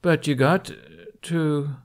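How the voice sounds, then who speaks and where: A man voicing a gruff older Viking woman in an audiobook, lecturing in a stern, exasperated way. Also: on the high side, fairly even intonation, takes it slowly, pitched above the performer's own voice. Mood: exasperated, scolding.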